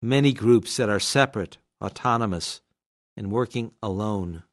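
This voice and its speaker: Actor as male doctor-sage, sixty, radio drama. Solemn, composed, lowish voice. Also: moderate pace, lightly acted. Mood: solemn, collected. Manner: solemn, declarative. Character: cool, collected sixty-year-old male doctor and sage. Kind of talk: scripted radio drama acting.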